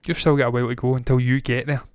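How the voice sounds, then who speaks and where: A man's voice: medium pitch, level tone, very fast, clear, resonant tone, dry recording, studio quality. A man in his thirties hosting a movie news show, talking casually, with rambling opinionated asides. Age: 30s.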